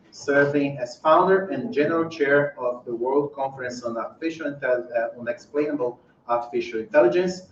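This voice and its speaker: A man's voice: mid-range voice, darkish tone, a little hiss or hum. A man hosting a seminar, giving a formal introduction of the guest speaker and correcting small slips as he reads. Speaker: seminar host. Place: seminar room. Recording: distant, roomy, dull lo-fi hall recording.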